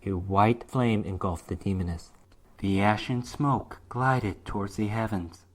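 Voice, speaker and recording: A man's voice: on the low side, a little slow. A man reading a short story aloud as dramatic scripted narration, in the role of storyteller.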